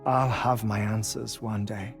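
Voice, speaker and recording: An actor playing a gentle, obsessive male scientist with a weak heart, who talks in a soft, thoughtful, deliberate way. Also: on the low side, steady tone, gravelly. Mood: musing. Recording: game cutscene with music behind.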